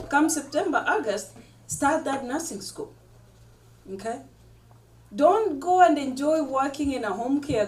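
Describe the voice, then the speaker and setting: A woman with a fairly high voice speaks firmly and directly to graduates, pressing her advice home. She is picked up from a distance in an echoey hall with faint noise underneath. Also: a little mellow. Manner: firm, advising, direct. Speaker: nursing school instructor. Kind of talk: ceremony speech.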